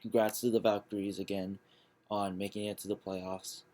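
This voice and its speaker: Slightly raspy man with a low voice. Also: flat delivery, normal speed, mellow. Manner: rambling, hesitant with fillers and restarts. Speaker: sports channel host. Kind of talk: casual sports commentary.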